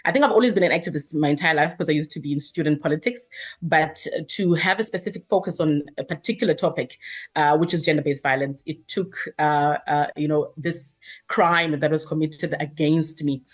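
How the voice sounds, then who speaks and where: A woman's voice: low voice, brisk. A woman, answering interview questions earnestly at length with many fillers and restarts. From South Africa. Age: 30s. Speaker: guest, activist.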